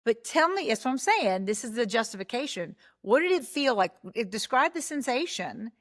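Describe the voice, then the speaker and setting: Bright-voiced enthusiastic woman host. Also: fairly high pitch, sing-song, normal speed. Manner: enthusiastic, prompting questions. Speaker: podcast host and coach. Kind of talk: casual interview talk.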